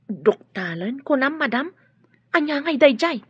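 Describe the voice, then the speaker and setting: A woman's voice: high voice, big pitch swings, measured pace, no echo, lo-fi sound. A woman playing a nosy, chatty older household helper in an Ilocano radio drama. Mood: prying.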